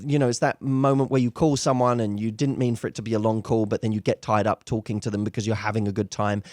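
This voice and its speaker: A man's voice: medium pitch, darkish tone, dry recording, clean studio sound. A man working as a dating coach, giving conversational advice straight to viewers in an emphatic way, slipping into acted-out example dialogue. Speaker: dating coach and show host.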